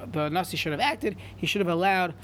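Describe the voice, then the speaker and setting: High-voiced man teaching Talmud. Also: a little fast, a bit bright, slight background noise. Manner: reads in chant then translates, frequent restarts. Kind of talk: Talmud class, reading and translating.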